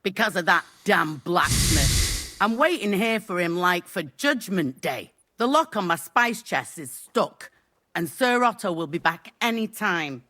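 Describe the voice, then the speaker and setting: An actress playing an impatient, grumbling castle cook in a video game. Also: female voice, on the low side, lively intonation, unhurried, pushed and bright, heavily acted. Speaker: housewife.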